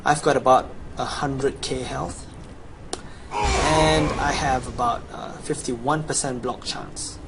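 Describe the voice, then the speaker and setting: A man's voice: mid-range voice, fairly even intonation, slow pace, very noisy. A young man with a Chinese accent, boasting through a promotional gameplay voice-over as he lists his gear and stats. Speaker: gamer promoting a gold guide.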